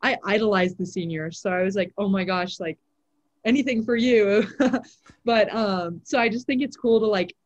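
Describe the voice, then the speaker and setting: A teenage girl, a high school volleyball player, answering interview questions in a casual way with lots of "like" fillers. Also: normal speed, dull audio. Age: teens. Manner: chatty, many "like" fillers.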